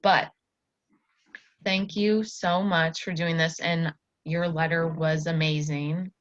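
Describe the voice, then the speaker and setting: A woman's voice: low voice, level tone, slow pace. A woman, a student teacher giving an encouraging one-to-one lesson to a child. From Iowa, United States. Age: early 20s. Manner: encouraging, praising. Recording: dull, echoey lo-fi room recording.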